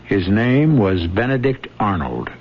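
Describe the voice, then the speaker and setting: A man's voice: on the low side, very animated, a little slow. A man hosting and narrating a radio drama in a theatrical, rhetorical, scripted style. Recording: dull old radio broadcast, music behind.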